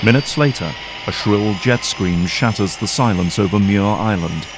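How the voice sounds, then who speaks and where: A man's voice: fairly low pitch, normal speed, background noise. A man delivering grave, florid scripted narration for an audio drama.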